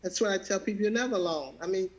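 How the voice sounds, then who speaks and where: A woman in her seventies with a Southern American accent, sharing a personal testimony in a halting way, often repeating words and laughing easily. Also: mid-range voice, muffled recording. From Southern United States. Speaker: guest.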